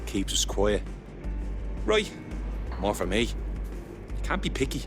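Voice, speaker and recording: An actor playing a jaded, sardonic, hard-drinking camp detainee in a video game. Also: male voice, fairly low pitch, sing-song, a little slow. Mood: sardonic, weary. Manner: sardonic, world-weary, slangy. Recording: music behind.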